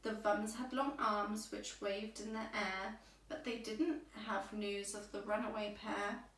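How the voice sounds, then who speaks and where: A woman storyteller reading a rhyming children's bedtime story aloud with deliberate, expressive phrasing. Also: medium pitch. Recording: roomy echo, distant microphone.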